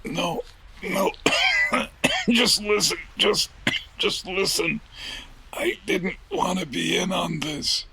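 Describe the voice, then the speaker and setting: A man playing a gentle, dying street Santa in a comic audio drama, groaning and coughing weakly with a strained voice. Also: sits high, huge pitch swings, takes it slowly, gravelly, pauses often, slight background noise, full character voice, poor audio. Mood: agonized, dying. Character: dying street Santa, gentle petty crook, weak and gasping.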